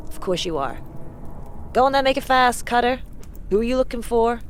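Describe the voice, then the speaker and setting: A woman's voice: fairly high pitch, expressive, moderate pace, slight background noise, close to an everyday voice. A woman playing a young, streetwise, impatient slum dweller in a fantasy audio drama, curt and slangy. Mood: wary, impatient.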